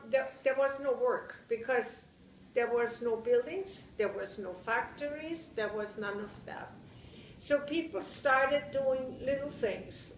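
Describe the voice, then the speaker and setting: An older woman from Germany with a Bavarian German accent, recounting her memories in an interview, often pausing to search for words. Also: on the high side, reverberant, far from the mic, a little hiss or hum, low-quality recording. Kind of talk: oral history interview.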